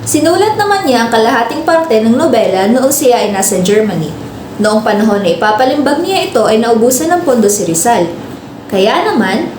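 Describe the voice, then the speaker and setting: A woman's voice: on the high side, noisy background, natural voice. A young Filipino woman narrating as a teacher telling a story to her class in a student radio drama. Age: young adult. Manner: expository, prompting pupils with questions. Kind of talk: scripted narration, storytelling lesson. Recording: echoey room with background music.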